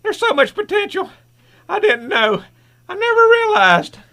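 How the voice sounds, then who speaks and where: A man playing a dying, earnest scientist in a radio superhero drama, speaking in a strained, halting, urgent way. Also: extremely high pitch, big pitch swings, sharp tone, heavily acted. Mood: amazed, weak. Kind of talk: old-time radio superhero drama acting.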